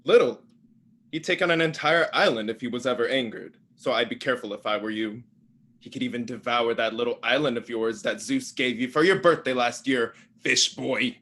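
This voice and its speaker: A man's voice: medium pitch, fairly quick, pushed and bright. A man playing a domineering eldest-brother god of the underworld, condescending and threatening, in a staged play reading.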